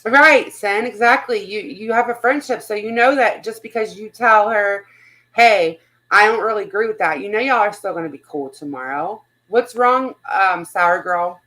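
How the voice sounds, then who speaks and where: A woman's voice: medium pitch, normal speed, a bit bright, rough recording. A woman hosting a casual livestream chat, speaking in a blunt, outspoken way and swearing freely. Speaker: livestream host.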